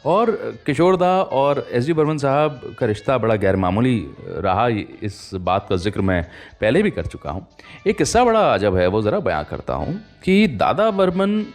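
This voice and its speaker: A man hosting a radio show, telling anecdotes in a storytelling manner and voicing the quoted exchanges. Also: mid-range voice. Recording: music playing behind the voice. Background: music.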